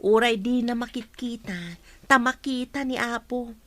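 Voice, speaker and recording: A woman acts a pious, trusting young Ilocano woman in a radio drama. Her voice is on the high side and earnest, recorded dry. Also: measured pace, fairly bright tone, no echo. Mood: earnest, defensive.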